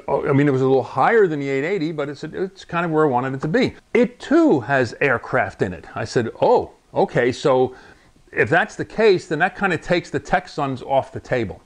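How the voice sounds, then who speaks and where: A man in his sixties, a ham radio channel host, chatting casually and rambling a little as he unboxes a radio in his home radio room on a lapel mic. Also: medium pitch. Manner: chatty, rambling, chuckles often. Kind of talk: casual product unboxing vlog.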